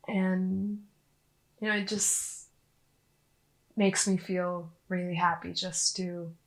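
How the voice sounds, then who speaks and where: Woman playing a hesitant, gravelly young client. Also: lowish voice, low-quality recording. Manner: hesitant, halting with fillers. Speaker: therapy client. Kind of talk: acted therapy dialogue.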